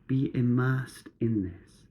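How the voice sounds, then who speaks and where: A man guiding a meditation in measured, instructive short phrases with pauses between them. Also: mid-range voice, highs cut off. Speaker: meditation guide.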